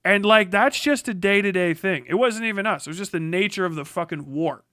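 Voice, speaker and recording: A man's voice: high-pitched, brisk, sharp tone, no room sound. An American man in a blunt, combative, profane livestream rant, speaking unscripted into a close mic. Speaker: livestream commentator.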